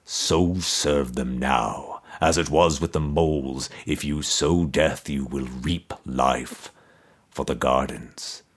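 Picture a dead emperor's skull buried under a tree, speaking in a resonant, toneless voice from far below as a male narrator puts him on. The voice sits well beneath a deep speaking voice, slow and heavy with command. It suits a dark spoken-word fantasy tale. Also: husky, heavily acted. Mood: menacing.

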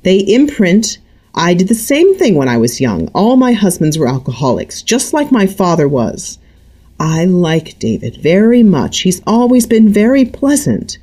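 Woman putting on a shrill, lecturing older mother. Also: big pitch swings, measured pace, warm tone, husky, heavily acted. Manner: high-pitched, insistent and lecturing.

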